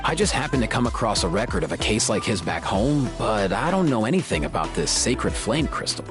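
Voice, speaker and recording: An actor voicing a laid-back young veterinarian in a video game, casual and slangy. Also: male voice, fairly low pitch, big pitch swings, fairly quick. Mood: thoughtful. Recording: music behind the voice.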